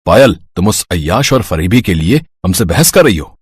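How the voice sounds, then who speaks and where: Man playing a stern, low-voiced father. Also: sing-song, normal speed, breathy edge, full character voice. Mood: reproachful. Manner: commanding, reproachful. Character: stern, protective working-class father, car mechanic. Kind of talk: Hindi moral story cartoon acting.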